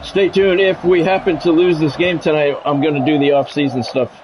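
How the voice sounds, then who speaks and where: A man's voice: fairly high pitch, little pitch movement, brisk, music in the background, poor audio. Middle-aged man calling a sports game stream. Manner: radio sportscaster delivery, repeats phrases for emphasis. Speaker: game streamer and play-by-play announcer. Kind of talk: live sports game stream commentary with chat banter.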